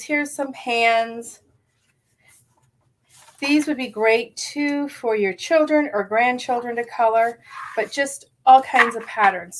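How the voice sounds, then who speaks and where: Middle-aged woman, slightly raspy morning voice, higher pitch, chatty craft demonstrator, enthusiastic, lots of ums. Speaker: card-making demonstrator. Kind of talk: casual live craft tutorial.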